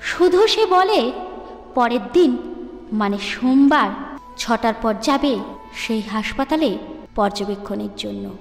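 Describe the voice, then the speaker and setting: High-voiced woman narrating ghost stories, heavy reverb. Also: lively intonation, takes it slowly, creaky, pauses often. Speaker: storyteller, channel narrator. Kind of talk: dramatic ghost story narration. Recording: echoey long reverb, music underneath.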